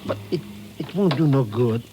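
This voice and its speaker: An actor playing a wheedling, nervous comic petty-crook sidekick in a vintage radio drama, whiny and fawning. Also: male voice, mid-range voice, lively intonation, moderate pace, natural voice. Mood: nervous, reluctant. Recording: noisy, crackly vintage radio recording.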